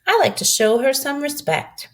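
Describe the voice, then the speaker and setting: Woman voicing a kindly old farm woman, animated lilt. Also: sits high, voice pushed up. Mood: warm, welcoming. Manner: folksy, unhurried storytelling.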